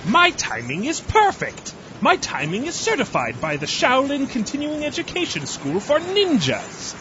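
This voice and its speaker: A man's voice: very high-pitched, wildly swooping pitch, normal speed, dull audio, very noisy, full character voice. A man playing a smug, silly ninja rival in a comic audio drama, pompous and melodramatic. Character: cocky, silly ninja rival, smugly self-important.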